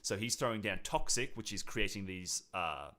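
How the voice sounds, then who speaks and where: A man's voice: fairly low pitch, expressive, moderate pace, pushed and bright. A man co-hosting a podcast, chatting casually about video games in a rambling way that often doubles back on itself. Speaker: podcast co-host.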